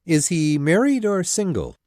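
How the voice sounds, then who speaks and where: Storybook role, played by a man: dry-witted stubborn father, middle-aged, very animated pitch, close dry recording. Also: dry recording. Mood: wry. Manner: calm, wry, measured. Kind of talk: storybook dialogue acting.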